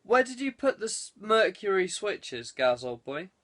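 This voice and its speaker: A man's voice: high voice, very animated, unhurried, a bit bright, voice pushed up, full character voice. A man voicing a jaunty, playfully posh ex-cadet saboteur with breezy banter in an audiobook.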